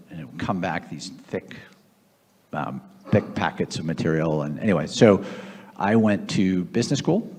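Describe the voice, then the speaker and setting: A man in his fifties from Boston with an American accent, telling his own story as an interview guest in a measured, reflective way. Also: low-pitched, darkish tone, breathy edge. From Boston area, United States. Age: 50s. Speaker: guest, investor. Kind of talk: conversational personal storytelling on stage. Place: large event room at a gathering. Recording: echoey hall with long reverb.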